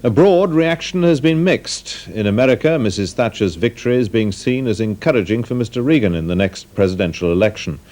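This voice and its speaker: A man with a British accent reading scripted news in a measured, formal way. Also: fairly low pitch, expressive, a little mellow. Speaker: newsreader.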